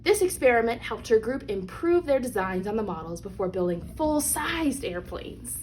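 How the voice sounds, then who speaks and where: A woman with a fairly high voice reads a picture book aloud to children. She phrases things deliberately and leans on key words for young listeners. There is some noise in the recording behind her. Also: big pitch swings. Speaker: read-aloud storyteller. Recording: clearly noisy background.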